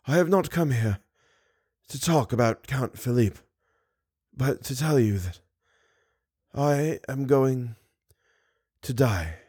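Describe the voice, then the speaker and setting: A man voicing a weak, dying masked man who is lovesick and broken, speaking in halting short phrases and gasping for breath. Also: medium pitch, expressive, a little mellow, breathy edge, no room sound, clean studio sound. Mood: faint, grieving.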